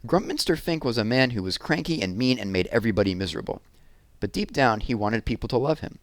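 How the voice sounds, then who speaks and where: A man reading a children's chapter book aloud as narrator, in an expressive storytelling style. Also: mid-range voice, fast, no echo.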